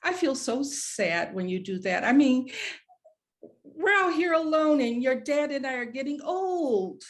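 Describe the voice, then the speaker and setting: A woman's voice: sits high, animated, measured pace. A woman playing a retired professor mother of sixty-five in a screenplay read, conversational, fond and reproachful with pointed questions. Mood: hurt, reproachful. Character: retired professor mother, sixty-five, guilt-tripping, warm but wounded. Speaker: actor in a script read. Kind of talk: live screenplay table read.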